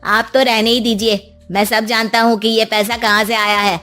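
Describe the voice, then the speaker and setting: A woman's voice: fairly high pitch, flat delivery, moderate pace, pushed and bright, soft background music. A woman voicing an insolent, lazy son in a cartoon story, speaking in a rude, defiant way.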